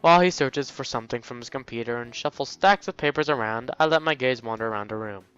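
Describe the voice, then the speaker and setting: A man's voice: medium pitch, fast talker, a bit bright, clear, resonant tone, lo-fi sound, faint music behind. A man hosting a let's play, reading a visual novel aloud and breaking off into jokey exclamations.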